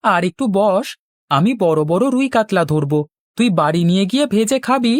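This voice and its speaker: Woman doing a cheeky cartoon boy, high voice, boastful, always talking back. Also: lively intonation, normal speed, no echo, clean studio sound, lightly acted. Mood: cocky, teasing. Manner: cocky, bantering back-talk. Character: cheeky, stubborn, boastful young village boy. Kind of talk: children's cartoon dialogue.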